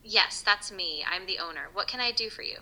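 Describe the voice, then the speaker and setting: A woman's voice: mid-range voice, animated, a little fast, sharp tone, low-quality recording. A synthetic woman's voice playing a friendly, curious boutique owner in a conversational phone role-play, polite and agreeable. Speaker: AI voice assistant in a sales call role-play.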